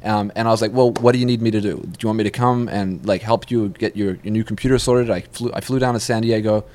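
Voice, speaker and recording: A man with a New Zealand accent chatting casually, telling stories in an animated way and swearing freely. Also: lowish voice, fast talker. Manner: animated storyteller who acts out quotes and swears freely. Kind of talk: casual chat.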